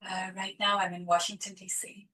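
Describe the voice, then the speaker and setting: A woman's voice: mid-range voice, little pitch movement, a little fast, sharp tone. A woman from Nepal, asking a question on a video call, hesitant with many fillers and restarts. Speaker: first-time participant asking a question. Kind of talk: online dharma Q&A.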